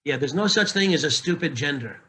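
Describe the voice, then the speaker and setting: A man with a bright, forward voice pitched a little high, talking loosely and candidly. He jokes, doubles back on his words and restarts sentences often, like a coach chatting with peers. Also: animated, brisk, low-quality recording. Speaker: narration coach. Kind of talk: casual panel talk.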